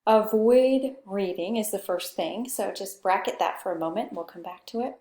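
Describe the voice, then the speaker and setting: A soft-spoken woman with a Pacific Northwest accent giving a conversational recorded course lecture, often checking in with "okay". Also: medium pitch, normal speed, reverberant. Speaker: instructor.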